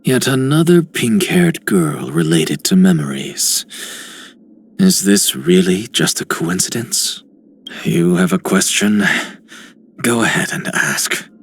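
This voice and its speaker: Aloof game scholar, husky, dry wit, widely swinging intonation. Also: male voice, low voice, very animated, slow pace, exaggerated voice. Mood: skeptical. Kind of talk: scripted game character lines.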